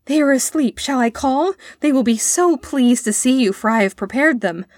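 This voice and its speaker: Woman voicing an excitable high-pitched young boy. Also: normal speed, no room sound, studio quality. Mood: eager, reassuring. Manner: breathless exclaiming, earnest and deferential. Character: eager, excitable, earnest young boy, polite and wonderstruck. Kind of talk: storybook character reading.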